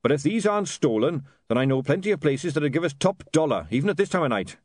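A man's voice: on the high side, sing-song, fast talker, a little mellow, no echo, pitched above the performer's own voice. Man doing a blunt dwarf, businesslike, slightly raised, dry sound. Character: practical, blunt male dwarf.

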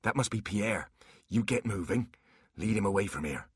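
A crafty dwarf, played by a male narrator, talking in a low male voice. He is wry and coaxing, a sly fantasy creature from a storybook, recorded close and dry. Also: expressive, normal speed, slightly rough, no echo. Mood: matter-of-fact.

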